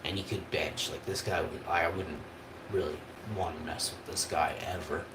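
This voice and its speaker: A man's voice: a bass voice, steady tone, moderate pace, roomy sound, background noise. A man, telling a rambling personal story on a livestream in a casual, chatty way. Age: 40s. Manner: casual and chatty, with frequent tag questions and restarts.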